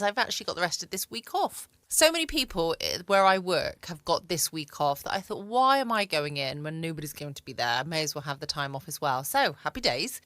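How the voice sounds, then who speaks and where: A woman's voice: fairly low pitch, a bit bright, dry recording. A woman with a southern English accent, hosting a podcast from home in a casual, rambling, chatty way. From England. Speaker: podcast host. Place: at home, dry close recording.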